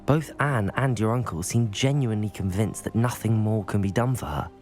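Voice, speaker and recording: A man's voice: lowish voice, moderate pace, a little mellow, faint music behind, natural voice. A young man playing a composed, thoughtful student wizard in a video game, speaking politely and with reserve. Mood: sympathetic. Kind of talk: video game cutscene acting.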